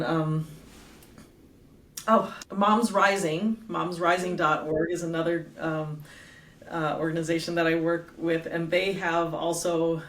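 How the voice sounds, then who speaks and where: A middle-aged woman talking as a guest and author in a casual interview, chatty and quick to laugh, with lots of fillers. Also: on the low side. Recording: roomy echo, distant from the mic.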